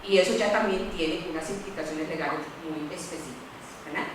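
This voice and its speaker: A middle-aged woman lawyer, speaking on a university panel in a candid, animated way and often adding "¿verdad?". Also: low-pitched, a little fast, background noise. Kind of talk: panel talk. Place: lecture room. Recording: echoey room, voice from far off.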